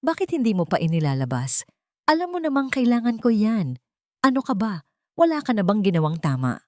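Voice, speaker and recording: A woman's voice: medium pitch, big pitch swings, brisk, a little mellow, dry recording, studio quality, voice pushed up, natural voice. A woman storyteller voicing an angry, fearful husband who snaps and accuses in a narrated drama. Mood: angry, scolding. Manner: snapping, accusing.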